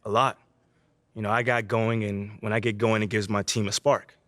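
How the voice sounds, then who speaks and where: A man playing a confident young pro basketball player in a video game, relaxed and slangy. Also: lowish voice, moderate pace, dry recording, natural voice. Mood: casual, self-assured. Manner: relaxed, slangy, self-assured. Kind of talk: casual game cutscene and press interview dialogue.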